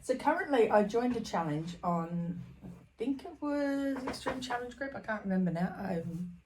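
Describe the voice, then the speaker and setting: A woman in her fifties chatting casually to camera about her stitching, scattered and full of ums, her voice slightly croaky. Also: a little high, lively intonation, normal speed, noisy background. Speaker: cross stitch vlogger. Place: small room at home.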